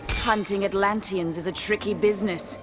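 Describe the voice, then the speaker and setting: An actress playing a predatory cat-like huntress in a video game, teasing and menacing. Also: female voice, mid-range voice, fairly even intonation, normal speed, over loud music, close to an everyday voice. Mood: predatory taunting.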